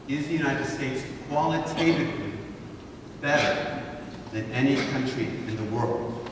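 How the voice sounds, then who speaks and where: A man's voice: medium pitch, unhurried. Fifties man from Honduras, guest speaker, rhetorical lecture style, caught from far off in a big echoing hall. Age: 50s. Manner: rhetorical, asks the audience to think. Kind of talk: lecture to students. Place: large wood-paneled hall, indoors. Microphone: far camera, no mic seen. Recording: echoey hall from far off, noisy.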